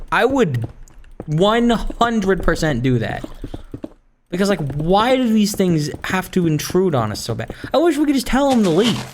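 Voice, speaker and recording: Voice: mid-range voice, a little hiss or hum. A person giving rambling, casual gaming commentary, musing and grumbling in an informal way. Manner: informal, musing, grumbling about small gripes. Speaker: gaming video host. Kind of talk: rambling casual Minecraft let's-play commentary.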